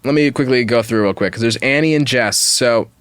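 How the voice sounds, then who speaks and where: A man's voice: mid-range voice, brisk, a bit bright, clear, resonant tone, dry recording. Man podcast host, casual and dry-sounding. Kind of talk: casual opinionated discussion of online stories.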